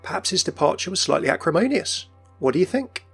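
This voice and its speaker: Casting: male video presenter, quick scripted delivery, mid-pitched, faint music underneath. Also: big pitch swings, breathy edge. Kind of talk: scripted narration for game lore video. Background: background music.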